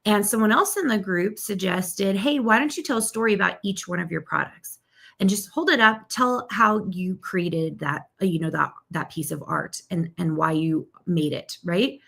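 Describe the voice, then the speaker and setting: A middle-aged woman hosts a live marketing lesson, upbeat and chatty, often checking in with her viewers. Her voice sits at an ordinary middle pitch, and she says she is losing her voice. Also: moderate pace, gravelly, a bit bright. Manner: upbeat, chatty, with frequent "okay?" and "right?" check-ins. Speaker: marketing coach hosting a live stream. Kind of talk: live-stream teaching.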